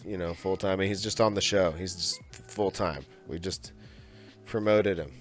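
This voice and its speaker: A young man rambles through casual podcast chatter in a lowish voice, full of fillers and restarts. Faint music sits underneath. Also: a little slow. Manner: rambling, loose, filler-heavy. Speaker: podcast co-host. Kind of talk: casual chat.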